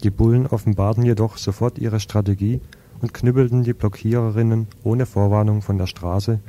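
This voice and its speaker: Dark, mellow-voiced male news presenter. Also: lowish voice, steady tone, moderate pace, a little hiss or hum. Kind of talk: scripted political news report.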